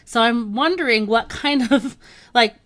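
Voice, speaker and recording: A woman's voice: fairly high pitch, measured pace, fairly bright tone. A woman interviewing a guest in a conversational style, putting questions to her.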